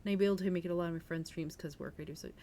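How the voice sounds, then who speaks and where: A woman's voice: on the low side, fairly even intonation, racing, dark tone, slight background noise. A woman hosting a livestream, reading aloud in a storytelling style and chatting informally with her viewers. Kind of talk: storytelling read-aloud and casual stream chat.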